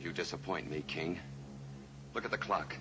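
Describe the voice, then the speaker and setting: A man's voice: low-pitched, moderate pace, lightly acted. An actor playing a cornered male killer who has taken a small plane hostage, in a 1950s radio drama. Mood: menacing. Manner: menacing, then panicking. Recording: old radio recording with hiss.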